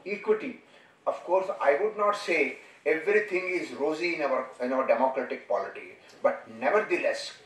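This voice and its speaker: Male lecturer, Indian accent, earnest formal delivery with halting pauses, somewhat raised pitch, roomy distant recording. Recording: echoey room, distant microphone.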